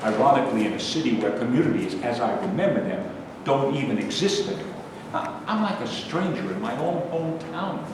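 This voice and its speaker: A man in a staged play reading, playing a bitter, nostalgic, judgmental city loner who rants haltingly. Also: medium pitch, animated, close to an everyday voice. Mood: bitter, grumbling. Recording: very echoey hall, distant mic, faint noise.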